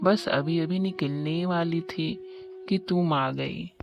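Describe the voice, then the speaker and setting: A woman's voice: lowish voice, slow, gravelly. A woman voicing a caring older mother-in-law and grandmother in a cartoon story. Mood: welcoming. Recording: dull lo-fi sound, music behind.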